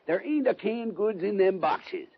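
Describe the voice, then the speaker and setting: An actor playing a folksy, wily old cowboy sidekick in a western radio drama, drawling and teasing in folksy cowboy talk. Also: male voice, high voice, animated, measured pace, creaky, husky, heavily acted. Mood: sly, threatening. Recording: muffled, crackly old-time radio recording.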